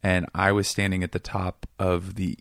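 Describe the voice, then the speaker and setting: A man from Seattle, a comedian guest in casual interview chat, talking in a rambling, self-deprecating way with a voice that is getting raspy. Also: deep voice, little pitch movement, normal speed, fairly bright tone, dry recording, clean studio sound. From Seattle area, USA.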